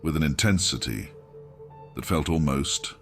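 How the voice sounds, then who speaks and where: Male narrator, extremely low pitch, grave and brooding, scripted horror audiobook over music. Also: animated, measured pace, creaky. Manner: grave, brooding, dramatic first-person telling.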